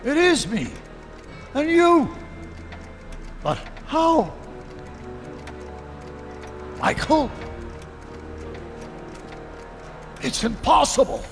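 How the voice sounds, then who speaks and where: Stern elderly scientist-ruler, animated game. High, swooping delivery, formal and grandiose, over background music. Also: male voice, very slow, vocal fry, pauses often, long reverb tail, heavily acted. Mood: astonished, wavering. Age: older. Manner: pompous, formal, theatrical diction. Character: elderly, stern, autocratic scientist ruling an orderly town. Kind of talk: animated video game acting. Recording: music behind the voice.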